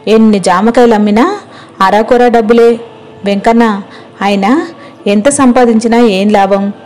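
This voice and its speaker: A woman's voice: a little high, steady tone, unhurried, a little mellow, natural voice. A woman voicing a weary young village fruit seller, plaintive and complaining, in a moral story. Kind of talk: acted moral story dialogue.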